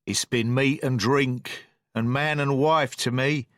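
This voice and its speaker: A man voicing an old drunken sea captain, feeble but blustering, who wheedles and talks in rough sailor's slang. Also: mid-range voice, fairly even intonation, slow pace, a bit bright, dry recording, clean studio sound.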